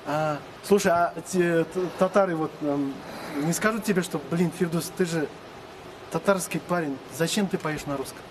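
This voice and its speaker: A man with a Tatar accent hosting an interview, prompting his guest with quick questions and interjections. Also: on the high side, noisy background. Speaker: interviewer, host. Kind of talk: casual interview chat.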